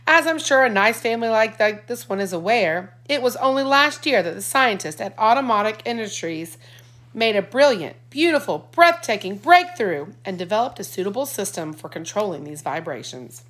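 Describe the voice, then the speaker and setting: A woman's voice: mid-range voice, lively intonation, moderate pace, fairly bright tone. A woman voicing a cheerful, showy father who slips into a hammy salesman patter. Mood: showy pitch.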